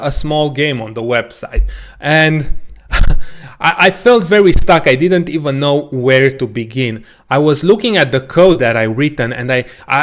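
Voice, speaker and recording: A man whose first language is Romanian, telling a casual personal story to camera as a coding educator, with a relaxed, self-deprecating manner. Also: on the high side. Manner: relaxed, self-deprecating, frequent restarts and small laughs.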